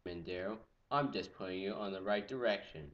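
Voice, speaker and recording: A teenage boy giving a goofy, jokey history lecture, swinging from loud exclamations to quiet asides. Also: lowish voice, fairly quick, vocal fry, muffled recording. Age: teens. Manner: goofy, animated, jumps between loud exclamations and mutters. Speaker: student presenter. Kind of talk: comedic lecture with skits.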